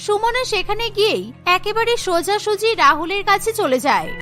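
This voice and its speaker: A woman's voice: very high-pitched, expressive, brisk, over music. A woman narrating a scripted cartoon story.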